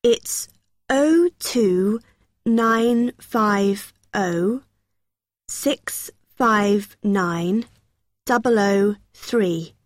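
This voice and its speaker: A woman's voice: mid-range voice, extremely slow, no echo, clean studio sound. A young woman playing a friendly, helpful student union helper who explains things in an acted everyday dialogue. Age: young adult.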